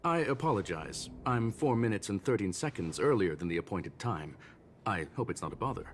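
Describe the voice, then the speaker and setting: An actor playing a courteous, precise scholarly robot in a video game, speaking in a formal, measured, pedantic way. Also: male voice, fairly low pitch, lively intonation, slight background noise, natural voice. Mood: courteous, philosophical. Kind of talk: scripted video game dialogue.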